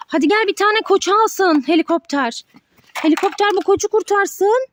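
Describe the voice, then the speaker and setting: A woman's voice: very high-pitched, normal speed, slight background noise. A woman, a mother playing toys with her child, narrating the pretend play in a singsong way with sound effects and pet names.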